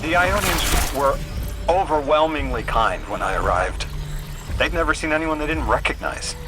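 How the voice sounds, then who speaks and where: A man's voice: a little high, animated, normal speed, natural voice. A man voicing an earnest, anxious translator in a video game, speaking in a hesitant, stammering way. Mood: friendly, reflective. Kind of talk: naturalistic video game voice acting. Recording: music behind the voice. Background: music.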